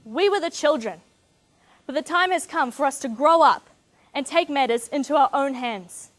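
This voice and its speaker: A teenage woman from New Zealand with a New Zealand accent, giving an earnest, measured competition speech. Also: sits high. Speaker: speech contestant.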